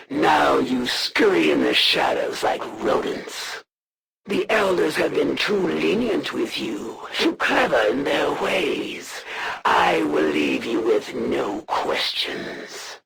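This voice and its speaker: Gravelly, haughty alien assassin. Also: female voice, sits low, fairly bright tone, heavily acted. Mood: haughty scorn, then cold menace. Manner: formal, haughty, measured and threatening. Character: proud, menacing alien female assassin, honor-bound and scornful. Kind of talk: theatrical video game villain monologue.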